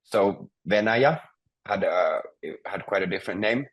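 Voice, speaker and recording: A man's voice: sits low, animated, normal speed, husky, dull audio. A man teaching a beginner language class, patiently prompting students and explaining grammar step by step.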